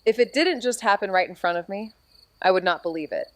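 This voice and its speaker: A woman's voice: medium pitch, fairly quick, lightly acted. Wry, irreverent young woman sleuth, played by an actress. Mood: subdued. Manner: slangy, wry, casual. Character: Amy, irreverent, impulsive young woman amateur detective. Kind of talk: naturalistic audio drama acting.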